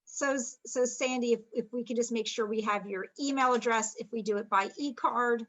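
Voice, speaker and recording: A woman's voice: fairly high pitch, moderate pace, a bit bright, muffled recording. A woman on a video call meeting, enthusiastic and stumbling over restarts. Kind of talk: casual meeting chat. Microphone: computer mic on a video call.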